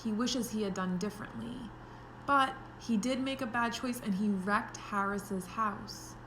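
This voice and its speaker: Slow-paced woman reading to young children. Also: medium pitch, noisy background. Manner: expressive, explanatory, simple wording for young children. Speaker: pre-kindergarten teacher reading aloud. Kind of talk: children's story read-aloud with explanations.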